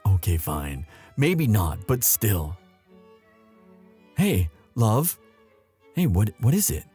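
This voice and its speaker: A man playing a young prince, a tender and anxious lover, in an intimate, halting romantic audio roleplay. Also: on the low side, big pitch swings, mellow, slightly rough, faint music behind, exaggerated voice. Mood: tender, teasing.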